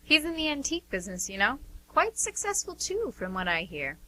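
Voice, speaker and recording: A woman's voice: high voice, big pitch swings, brisk, sharp tone, a little hiss or hum, full character voice, low-quality recording. A woman playing a secretive, harried sister in an amateur radio drama, with a curt, emphatic delivery. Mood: tense, secretive.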